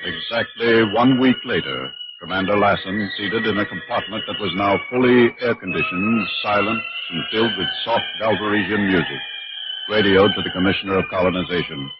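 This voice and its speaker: A man narrating a 1950s radio science fiction drama in a formal, measured storytelling style. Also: lowish voice, natural voice. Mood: solemn, measured. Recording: muffled 1950s radio, loud music. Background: loud dramatic music.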